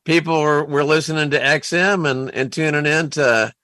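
A man's voice: fairly high pitch, normal speed, pushed and bright, dry recording, clean studio sound. A man co-hosting a talk radio show, with a jokey, announcer-style delivery. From Central Florida. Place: radio studio.

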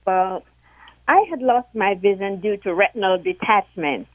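A woman from Jamaica, a guest on a radio show, calmly telling a personal story. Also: mid-range voice, measured pace, no echo. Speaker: guest, board member. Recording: tinny phone line.

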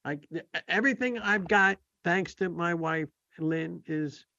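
A man with a slightly high voice hosts a radio talk show. He talks in a loose, grateful ramble full of restarts. The sound is dry and a little dull. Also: measured pace, vocal fry, pushed and bright, no echo. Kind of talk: casual radio chat.

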